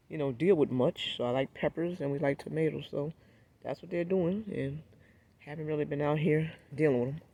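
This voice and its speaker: A man with a gravelly, raspy voice, hoarse from a cold, walking through his backyard garden. He rambles in a relaxed way and talks directly to his viewers. His pitch sits a little above the usual for a man. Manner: laid-back, rambling, talks straight to viewers. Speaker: gardening vlogger. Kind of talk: casual walkthrough vlog.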